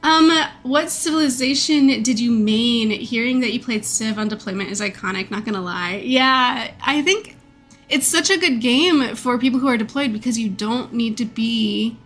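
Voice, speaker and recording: A woman's voice: a little high, normal speed, a bit bright, faint music behind. Chatty stuffed-up woman streamer, nasal. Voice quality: a bit croaky. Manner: chatty and rambling, many fillers and laughs. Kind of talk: casual chat with viewers.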